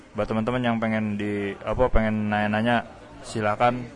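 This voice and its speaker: A man's voice: fairly low pitch, poor audio. A man hosting a casual radio music interview in a chatty, informal, slangy way.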